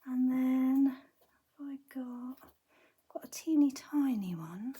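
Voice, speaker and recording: Croaky woman murmuring through a craft tutorial. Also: sits high, takes it slowly, warm tone. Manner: chatty, murmurs to herself while working. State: croaky, losing her voice. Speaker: card-making tutor.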